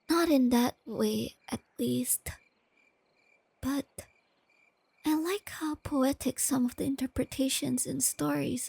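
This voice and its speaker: A woman playing a shy, nerdy, tender young girlfriend in a soft-spoken ASMR roleplay, with a breathy near-whisper and hesitant, gently stammering delivery, crickets chirping behind her. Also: sits high, no room sound, exaggerated voice. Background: crickets and night insects.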